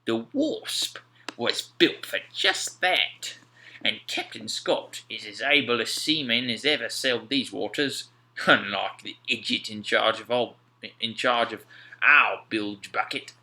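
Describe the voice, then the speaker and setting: A man voicing a cautious, grumbling working sailor with rough seaman's talk in an audiobook story. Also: mid-range voice, takes it slowly, sharp tone, full character voice, rough recording. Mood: scornful.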